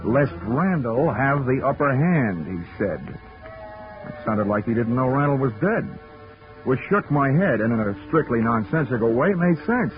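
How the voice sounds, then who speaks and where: Man doing a deadpan hardboiled american detective, slangy, old radio show, muffled with music behind. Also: medium pitch, normal speed, lightly acted. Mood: wry narration. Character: wry, unflappable hardboiled male investigator. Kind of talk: 1940s radio drama acting and narration.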